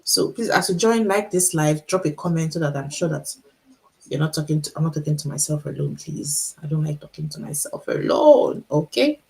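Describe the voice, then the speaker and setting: A middle-aged Nigerian woman speaks in a low, gravelly voice with a Nigerian accent. She talks deliberately, so her listeners can keep up. She repeats her main points and throws rhetorical questions at the viewers of her livestream. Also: lively intonation, poor audio. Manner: encouraging, repeats key lines, asks rhetorical questions. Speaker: author and motivational speaker. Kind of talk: motivational livestream talk.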